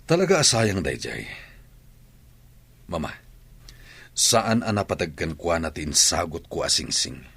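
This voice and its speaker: Man acting deep-voiced troubled husband in radio drama. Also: sing-song, measured pace, gravelly, pauses often, fairly bright tone, husky, full character voice. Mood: grave, disappointed. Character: troubled husband, an adult son confiding in his mother. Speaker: voice actor in an audio drama.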